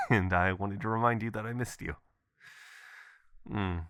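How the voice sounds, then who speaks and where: An affectionate, adventurous boyfriend, played by a man, leaves an intimate voicemail in a deep voice with a bright, crisp edge. He talks casually and playfully, taking his time. The sound is close and dry, in the manner of ASMR roleplay audio. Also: measured pace, no room sound. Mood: playful, wistful. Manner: casual, expressive, chuckling, swearing. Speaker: audio performer.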